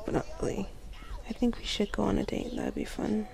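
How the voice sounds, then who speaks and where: A young woman doing girlfriend ASMR roleplay in a soft, sleepy voice that often drops to a whisper, murmuring affectionately and drowsily. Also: mid-range voice, huge pitch swings, fairly quick, vocal fry. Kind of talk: ASMR girlfriend roleplay, casual intimate chat.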